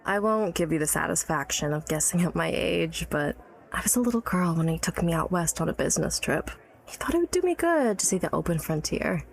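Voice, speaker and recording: A woman's voice: on the low side, lively intonation, brisk, creaky, poor audio. An actress playing an educated, tipsy, wry woman of early-1900s New York in a period audio drama, teasing and guarded. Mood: wistful storytelling. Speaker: storyteller recalling her childhood.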